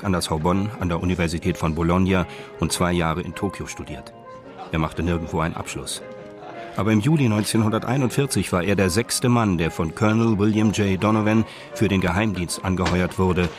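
An actor as the detached narrator of a radio crime drama, telling it in a measured, matter-of-fact way. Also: male voice, sits low, lightly acted. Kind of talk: scripted crime story narration.

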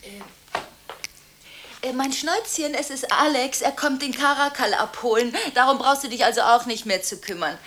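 An actress playing a restless, contradictory woman on the phone late at night in a radio drama, halting and anxious, shifting between hushed and insistent. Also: high-pitched, background noise, lightly acted. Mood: flustered, hurried. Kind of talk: radio monodrama acting, one side of phone conversations.

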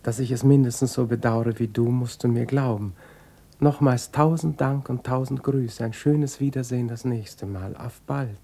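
An actor playing a polite, evasive gentleman lover in a German radio drama, with a courteous, formal delivery. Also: mid-range voice, moderate pace, creaky. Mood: politely distant.

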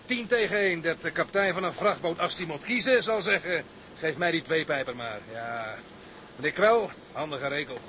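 A man's voice: on the high side, moderate pace, sharp tone, slight background noise. A man playing a brash, scornful seaman who is opinionated and taunting, in radio drama style. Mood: grudging admiration.

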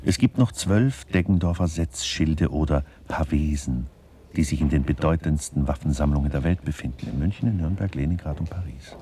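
An actor playing a dry, official museum announcer, reading out signs and facts impersonally in a radio play. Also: male voice, rumbling low, moderate pace, mellow, a little hiss or hum. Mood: factual.

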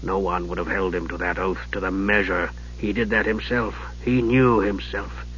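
A man voicing a frail, sardonic old archmage in a soft, low voice that drops to whispers and a slow, cutting delivery, on a dull lo-fi recording. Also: steady tone, a little hiss or hum. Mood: cold.

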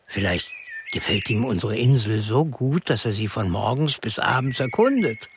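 A man's voice: mid-range voice, big pitch swings, moderate pace, noisy background. An actor playing a friendly, unsuspecting man of the household in a radio drama.

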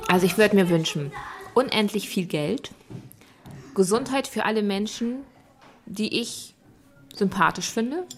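A woman's voice: medium pitch, unhurried, pauses often. A woman answering casually in a frank, matter-of-fact way.

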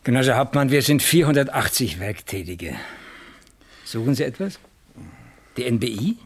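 A husky-voiced East German factory manager in a radio crime play, at a middling pitch. He sounds earnest and a little defensive, hesitating and puzzling over what he is asked. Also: big pitch swings, normal speed. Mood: defensive, sheepish. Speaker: actor in a radio crime drama.

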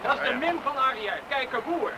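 A man's voice: extremely high pitch, brisk, recorded from a distance. An actor playing a plain-spoken village lifeboat crewman in a radio play. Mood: matter-of-fact. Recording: loud storm and outdoor noise.

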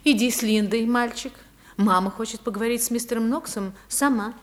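A woman's voice: on the high side, fairly quick, close to an everyday voice. An actress playing an anxious, devoted society wife and mother in a radio play, earnest and theatrical. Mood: tender, composed.

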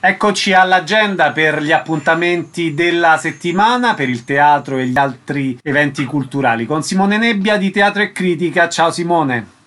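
A man's voice: a little high, moderate pace, a bit bright, faint music behind. A man hosting a radio programme, asking questions and presenting items. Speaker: radio host. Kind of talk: radio interview and agenda presenting.

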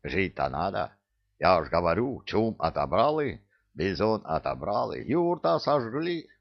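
A man's voice: deep voice, sing-song, a little slow, gravelly, full character voice. A man voicing a whining, rambling huckster spirit from a comic fantasy audiobook, with a Georgian accent and broken grammar. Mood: plaintive. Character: hook-nosed Caucasian-style huckster spirit in Native costume, whining. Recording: dull lo-fi audio.

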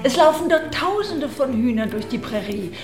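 A gossipy fanciful society baroness, radio play, roomy sound, music playing. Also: female voice, sits high, expressive, normal speed. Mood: amused, gossipy. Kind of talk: German radio drama acting.

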